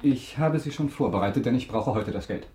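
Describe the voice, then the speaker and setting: An actor in a German radio drama playing a suave, calculating count, polite, measured and quietly ironic. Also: male voice, mid-range voice, animated, echoey room, natural voice. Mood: coolly insistent.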